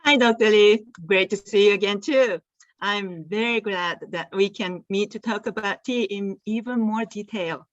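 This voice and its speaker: A woman's voice: medium pitch, moderate pace, no room sound, highs cut off. Japanese-accented woman telling her story. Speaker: guest, tea company founder. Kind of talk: storytelling interview answer.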